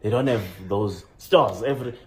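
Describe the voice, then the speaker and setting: A man with a South African accent talks through his travel impressions in a relaxed vlog style, jumping up in pitch each time he announces the next number. A faint hiss sits under the recording. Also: normal speed. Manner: animated, jumps up in pitch to announce list items. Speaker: vlogger. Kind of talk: casual travel vlog chat.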